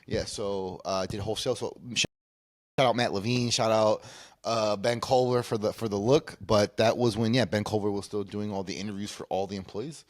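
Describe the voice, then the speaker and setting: A man from Peru speaking as an interview guest, casually recounting his career in a halting way, often circling back to rephrase. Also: on the low side, steady tone, dry recording. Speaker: interview guest, cannabis industry consultant.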